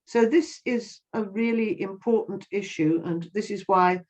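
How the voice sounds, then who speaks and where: A woman's voice: medium pitch, a little slow, darkish tone. An older woman giving earnest interview answers, impassioned, often restarting and repeating words. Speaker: interviewee, activist. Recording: slightly dull, lo-fi sound.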